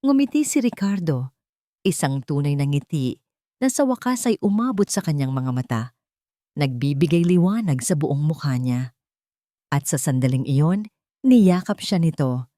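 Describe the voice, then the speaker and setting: Female storyteller for romance drama: lowish, mellow voice, sentimental scripted delivery. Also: animated, normal speed, pauses often, dry recording, studio quality.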